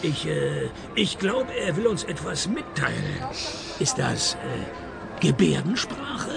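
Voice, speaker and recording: Wry, gravelly private detective. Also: male voice, fairly high pitch, slow, over loud music, full character voice. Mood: dry, sardonic. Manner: deadpan, sardonic. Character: wry, deadpan male private detective, cynical and dry. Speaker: lead detective and narrator of the radio play. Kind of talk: radio play narration and dialogue.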